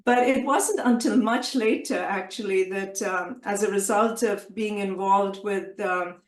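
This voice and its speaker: Middle-aged kashmiri-born woman, native kashmiri speaker, interview guest, lots of ums and restarts, echoey room. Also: medium pitch. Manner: measured, hesitant, many fillers and restarts. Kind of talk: conversational interview.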